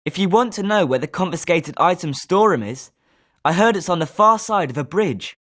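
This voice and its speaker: A man's voice: high-pitched, expressive, brisk, fairly bright tone. A male actor playing a smug, rivalrous schoolboy at a wizard school in scripted video game lines. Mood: informative.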